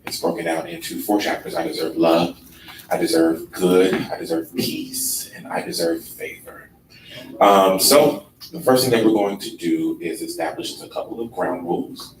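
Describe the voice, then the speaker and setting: A man giving a relaxed workshop presentation in a conference room, with a sleepy, laid-back, unhurried delivery full of ums. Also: low voice. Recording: echoey room from far off with noise.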